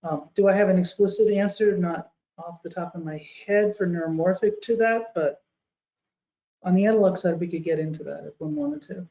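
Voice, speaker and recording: A woman's voice: fairly low pitch, moderate pace, warm tone. A woman panelist in a webinar debate, thinking aloud with frequent restarts over a video call. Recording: echoey, dull video call audio.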